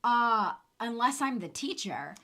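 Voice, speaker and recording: A woman co-hosting a live weekly chat show, hoarse from losing her voice, bubbly and quick to laugh in playful banter. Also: on the high side, sing-song, sharp tone. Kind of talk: casual live-stream chat.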